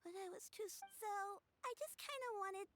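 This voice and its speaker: A woman voicing a sweet, candid little girl full of childish wonder in an animated video game. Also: very high-pitched, moderate pace, a little hiss or hum. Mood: shy, wondering.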